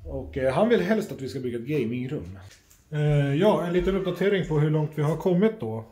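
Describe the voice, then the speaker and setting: A middle-aged man chatting casually for a family vlog, informal and sweary, often halting, recorded on a phone at arm's length indoors. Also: a little high, lively intonation, mellow. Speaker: family vlogger. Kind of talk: casual handheld vlog chat. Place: indoors at home, rooms and kitchen. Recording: roomy echo, faint household noise.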